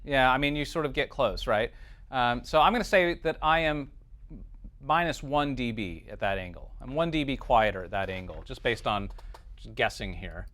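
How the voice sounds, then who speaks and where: A man giving an informal software lesson as a teacher, thinking aloud through each step and often checking in with the listener. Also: mid-range voice, expressive, normal speed. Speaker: teacher, lecturer.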